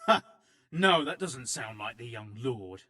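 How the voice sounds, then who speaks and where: A man's voice: mid-range voice, lively intonation, measured pace, bright, forceful tone. A man playing a suspicious guard in a video game, questioning and sceptical. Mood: amused, relenting. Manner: sceptical, interrogating. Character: suspicious male guard, gruff but easily won over. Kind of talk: video game cutscene acting.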